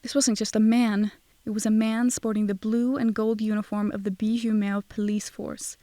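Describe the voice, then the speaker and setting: A woman's voice: mid-range voice, level tone, normal speed, warm tone, dry recording, clean studio sound, lightly acted. A woman playing a wry, cynical young fake psychic, narrating an audio drama in a sardonic, confiding way. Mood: wry, dry. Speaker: first-person narrator and lead character.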